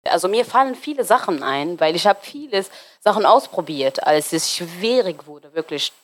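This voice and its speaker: A woman in her twenties from Somalia, German not her first language, talking as an interview guest and often pausing on fillers while searching for words. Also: lowish voice, expressive. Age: 20s. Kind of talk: casual interview talk.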